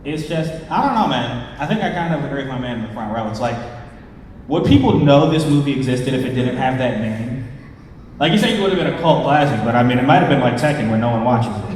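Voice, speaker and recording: Man, medium pitch, heavy hall echo, distant from mic, casual panel host. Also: expressive, fast, darkish tone, a little hiss or hum. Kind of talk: casual fan convention panel talk. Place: large convention panel room.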